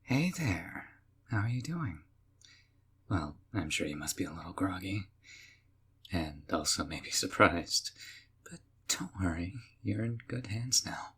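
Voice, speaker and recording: A sweetly obsessive young man who has taken someone home to pamper them, as a male voice actor plays him. His voice sits very low and husky, and he speaks softly and coaxingly, often dropping to a whisper, in an audio roleplay. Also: sing-song, measured pace, exaggerated voice.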